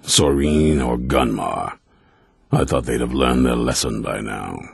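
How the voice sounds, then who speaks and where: An actor playing a stern, suspicious vampire-hunter commander in a fantasy video game, blunt and commanding, with a gruff gravelly voice. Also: male voice, a little slow, no room sound, clean studio sound, heavily acted. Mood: stern, curt. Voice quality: very deep, gravelly, raspy. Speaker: leader of a vampire-hunting order.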